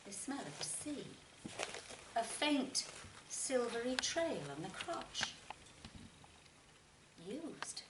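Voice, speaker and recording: A woman reading literary fiction aloud as an expressive narrator, with deliberate phrasing and dramatic pauses. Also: on the high side. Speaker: short story reader. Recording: roomy, distant mic, faint noise.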